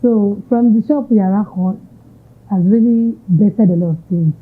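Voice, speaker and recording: A woman's voice: mid-range voice, moderate pace, husky. A Nigerian woman entrepreneur answering interview questions, switching between English and Yoruba phrases. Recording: tinny narrow-band audio.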